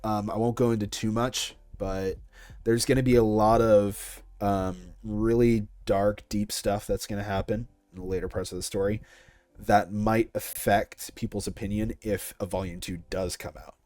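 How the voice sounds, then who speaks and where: A young man talking casually as an interviewed online creator, in a measured, considered way. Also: on the low side, steady tone, a little mellow, dry recording. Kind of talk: casual interview chat with YouTube-style sign-off.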